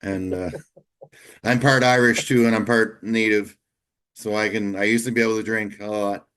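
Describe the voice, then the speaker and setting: A man in his late forties with a fairly low voice hosts a casual interview. He talks in a relaxed, candid way and speaks openly about his own life. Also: normal speed, smooth, rough recording. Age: 40s. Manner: candid, easygoing and confessional. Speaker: podcast host.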